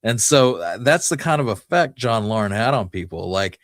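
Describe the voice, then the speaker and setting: Low-pitched man casually telling history stories. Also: expressive, moderate pace, dry recording, studio quality. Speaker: podcast co-host. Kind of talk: casual conversational history storytelling.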